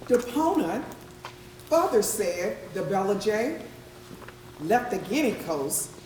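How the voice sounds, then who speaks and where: Woman as slow, solemn witness, echoing room. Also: medium pitch, sing-song, darkish tone. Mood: grim, solemn. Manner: solemn, deliberate, testifying. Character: grim ship's crewman giving a sworn deposition. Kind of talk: formal dramatic verse recital, readers theatre. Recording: echoey room, distant mic, audience sounds.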